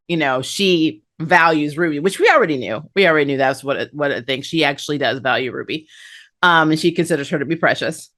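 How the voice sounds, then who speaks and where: A woman's voice: lowish voice, pushed and bright. A woman hosting a review, recapping an episode in a chatty, conversational way with casual commentary. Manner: chatty, conversational, acts out characters' lines. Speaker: anime review channel host.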